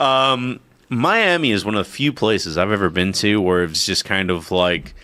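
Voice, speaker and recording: A man's voice: lowish voice, normal speed, bright, forceful tone. A young man chatting casually as a podcast co-host, laughing often, swearing freely, and restarting his sentences. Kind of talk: casual chat.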